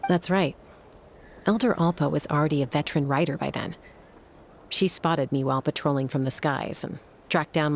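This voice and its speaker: A woman's voice: low voice, slight background noise. An actress playing a cool, self-assured young warrior woman in a video game, speaking in a composed, frank way. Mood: reflective. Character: cool, self-assured female warrior, lone-wolf peacemaker. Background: music.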